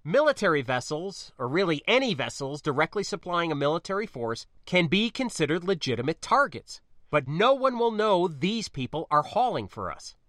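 A man's voice: a little high, big pitch swings, moderate pace, fairly bright tone, no echo, studio quality, voice pushed up. A man voicing a stubborn, wry space pilot in an audiobook, colloquial, defensive and insistent. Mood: matter-of-fact.

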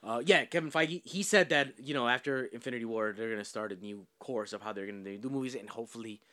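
A man hosting a podcast, chatting casually about films, animated and joking with plenty of swearing. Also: medium pitch, quick pace. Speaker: podcast host. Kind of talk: casual chat.

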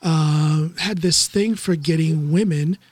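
A man's voice: on the high side, slow, husky, dry recording. A middle-aged or older man with an American accent, hosting a casual, conversational podcast interview. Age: 50s or older. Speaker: podcast host.

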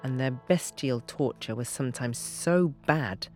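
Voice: medium pitch, a little slow, darkish tone. A narrator reading a scripted, factual introduction and historical commentary for an audio drama. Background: plucked guitar music.

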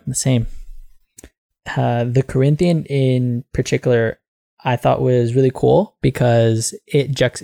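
A man's voice: mid-range voice, creaky, warm tone, dry recording, studio quality. A man co-hosting a comics book club podcast, recapping the story in a rambling way and laughing often. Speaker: podcast co-host. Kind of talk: casual book club discussion.

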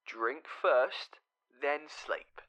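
An actor playing an affectionate, proud partner in an audio drama, gently coaxing and evasive. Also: male voice, mid-range voice, expressive, drawn-out words, dull audio, full character voice. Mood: soothing, coaxing.

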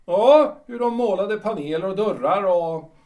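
A man's voice: high voice, animated, warm tone. A man acting a radio drama as a lonely, nostalgic retired official in his sixties, musing hesitantly in broken, repeated phrases. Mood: nostalgic, resigned. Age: 60s. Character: reclusive, nostalgic retired civil servant of 62, lonely but resigned. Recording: roomy echo, distant from the mic.

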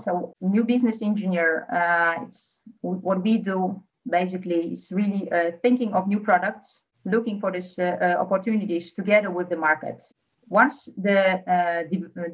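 A woman's voice: fairly low pitch, muffled recording. A young woman from Poland with a Polish accent, speaking as a guest on a podcast interview, hesitant with many fillers. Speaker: engineer guest.

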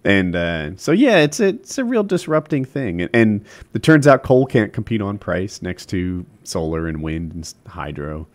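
A man's voice: low-pitched, very animated, normal speed, hardly any pauses. A man chatting casually on a video call, rambling with lots of fillers and swearing. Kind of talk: casual chat.

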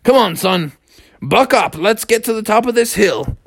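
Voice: medium pitch, lively intonation, moderate pace, no echo, voice pushed up. A storyteller playing a hearty, blustery father bear. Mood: hearty, chiding. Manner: old-fashioned folksy exclamations, calls son "me boy".

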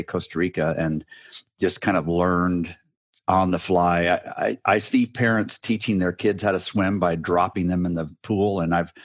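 A man with a very deep voice, recorded close and dry. He talks casually and wryly as an interview guest, often chuckling. His manner is self-deprecating and relaxed. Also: dry recording. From Central America. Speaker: guest, business advisor.

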